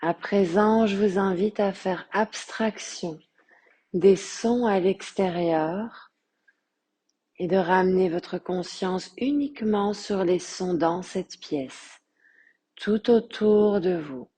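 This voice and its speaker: A woman guiding a relaxation meditation as a yoga teacher, speaking softly and breathily in a calm, soothing, measured way with long pauses. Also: medium pitch, slightly rough. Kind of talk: guided meditation.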